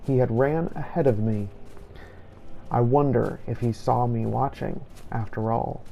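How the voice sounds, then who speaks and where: A man voicing a solemn, righteous vampire-hunting inquisitor, narrating his hunt in formal, measured, old-fashioned phrasing for a game roleplay. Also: mid-range voice, creaky, mellow, a little hiss or hum, rough recording. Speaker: video game player narrating in character. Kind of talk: scripted first-person roleplay narration.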